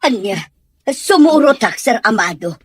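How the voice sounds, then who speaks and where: A woman's voice: a little high, animated, normal speed, slightly rough, dry recording. A woman acting as a respectful, concerned household helper in an amateur audio drama, asking questions deferentially.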